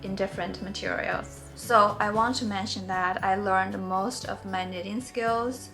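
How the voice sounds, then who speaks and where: A woman in her forties who grew up in China and speaks English as a second language after Mandarin, guiding beginners through knitting. Her delivery is gentle, earnest and encouraging, pitched in an ordinary middle register. Faint music plays quietly underneath. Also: steady tone, measured pace, creaky, rough recording. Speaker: knitting tutorial host. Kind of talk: friendly instructional talk.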